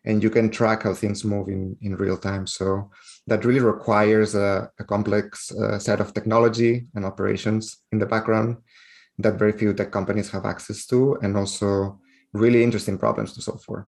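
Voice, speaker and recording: A man's voice: fairly low pitch, flat delivery, normal speed, creaky, a little mellow. A man from Spain with a Spanish accent, a guest on a podcast interview, speaking with frequent fillers and restarts. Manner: hesitant, many fillers and restarts.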